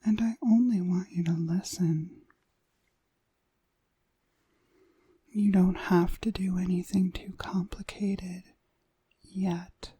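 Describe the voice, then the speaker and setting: A woman's voice: fairly low pitch, steady tone, dark tone, dry recording. A woman playing a seductive, commanding witch hypnotist in a whispered ASMR roleplay, lulling and possessive, close to the microphone. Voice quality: breathy, whispery. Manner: slow, deliberate, commanding, lulling. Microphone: close, dry microphone.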